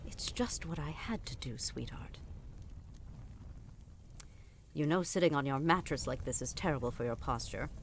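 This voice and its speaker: A woman acts out a middle-aged queen mother in a fantasy audio drama. Her voice sits on the low side and swings widely, imperious and dismissive, then cajoling, with exasperated sighs. There is some clear background noise on the recording. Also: animated, brisk. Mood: coaxing, wistful. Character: haughty, guilt-tripping middle-aged queen, mother of a rebellious princess. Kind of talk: audio drama podcast acting.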